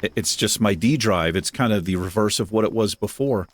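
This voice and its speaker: A man with a deep voice hosting a gaming channel, chatting casually and self-deprecatingly while he plays. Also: brisk. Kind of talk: casual gameplay commentary. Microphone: desk microphone.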